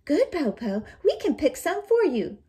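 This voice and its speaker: A woman's voice: high-pitched, big pitch swings, measured pace, dark tone, voice pushed up. A woman voicing a clever eldest girl in a storybook, sweet and coaxing with pretend innocence as she schemes.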